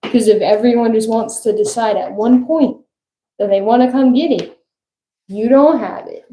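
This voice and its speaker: A woman's voice: fairly high pitch, moderate pace, warm tone. Blunt woman in an echoey room. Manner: blunt, wry. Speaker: co-host. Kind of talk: casual livestream talk. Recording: echoey room with faint noise.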